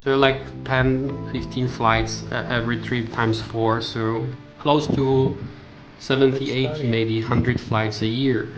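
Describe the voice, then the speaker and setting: A middle-aged man from Europe with a European accent, speaking English as a non-native language, recalling his travels in a plain, halting way for a vlog. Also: medium pitch, flat delivery, muffled recording, music in the background. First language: not English. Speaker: vlogger. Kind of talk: personal travel recollections to camera.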